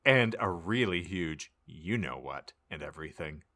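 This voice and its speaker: A man narrates a comic audiobook in a deep, bright-edged voice. His pitch swings widely as he reads, giving it a theatrical, mock-serious delivery. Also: expressive, a little slow. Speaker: podcast host reading aloud.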